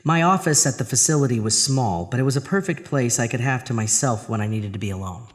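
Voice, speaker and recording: A male actor plays a troubled, haunted man telling his own horror story. He narrates dramatically in the first person, in a mid-pitched voice suited to a chilling audio drama. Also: quick pace, big-room sound, a little hiss or hum, lightly acted. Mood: brooding. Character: Arthur, troubled married man haunted by a drain.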